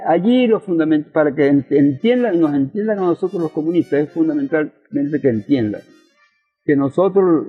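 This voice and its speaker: Thin, narrow radio sound with music behind an older Venezuelan man. His voice is husky and he explains politics like a lecturer, posing rhetorical questions and repeating his key phrases. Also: fairly high pitch. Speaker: interviewed communist politician and professor. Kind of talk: conversational political explanation in an interview.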